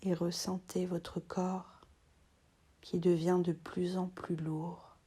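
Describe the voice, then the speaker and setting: A woman with a lowish, mellow voice leads a guided relaxation. She half-whispers in short, soothing phrases with long pauses between them. Her delivery stays very even in pitch. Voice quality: soft, breathy, half-whispered. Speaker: yoga teacher.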